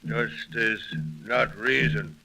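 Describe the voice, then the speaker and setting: An actor playing a proud, grave war chief who speaks terse, halting broken English in a 1930s radio drama. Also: male voice, on the low side, steady tone, creaky, music in the background, low-quality recording. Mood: stern, aggrieved.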